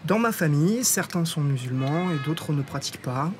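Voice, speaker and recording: A man answering a reporter's questions at an outdoor event, relaxed and friendly. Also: fairly high pitch, normal speed, slight background noise. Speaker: interviewee.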